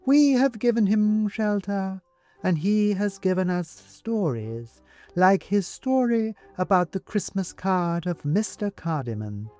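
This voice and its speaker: A man voicing a stern, sanctimonious little china nun in a storybook reading, with measured and emphatic delivery. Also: high-pitched, expressive, a little mellow, soft background music, pitched above the performer's own voice. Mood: smug, emphatic.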